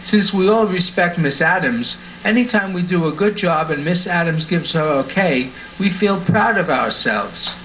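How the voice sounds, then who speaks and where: A man's voice: fairly high pitch, lively intonation, moderate pace, hardly any pauses, lightly acted. A man playing an earnest, sensible schoolboy in a scripted puppet show, explaining things instructively. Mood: patient, explanatory. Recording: dull lo-fi sound with faint noise.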